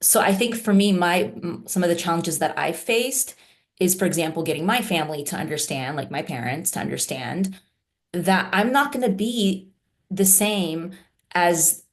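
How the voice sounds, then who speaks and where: A woman's voice: on the low side, moderate pace. A woman therapist raised in the United States, talking reflectively in an interview, with many "you know" and "right?" fillers. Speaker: therapist guest.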